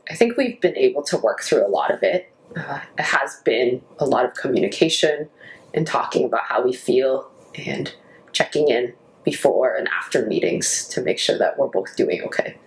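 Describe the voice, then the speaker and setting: A woman's voice: a bass voice, expressive, normal speed, low-quality recording. A woman who works as a campus minister, grew up in Canada, talking casually about her life and friendship in an interview.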